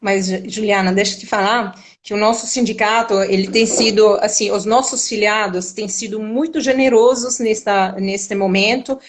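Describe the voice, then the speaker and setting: A woman's voice: medium pitch, steady tone, normal speed, hardly any pauses. A woman from Italy with an Italian accent, a union vice-president and professor, speaking formally with frequent hesitations in a live video call. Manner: hesitant, many filler sounds, formal wording. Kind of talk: live-stream conversation.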